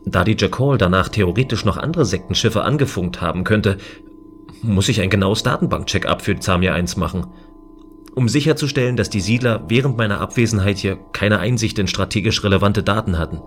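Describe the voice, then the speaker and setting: A man playing a gentle, dutiful guardian of a space station in a science fiction audio drama, speaking in a considerate, earnest, explaining way. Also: on the low side, fairly quick, soft background music, natural voice. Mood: apologetic, earnest.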